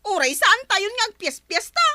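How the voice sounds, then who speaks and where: An actress plays a fed-up village woman in an audio drama. Her very high, sharp voice nags and pleads plaintively, close and dry. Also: very animated, moderate pace, no echo, full character voice. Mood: exasperated scolding. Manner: plaintive, nagging. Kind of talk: Ilocano radio-style audio drama acting.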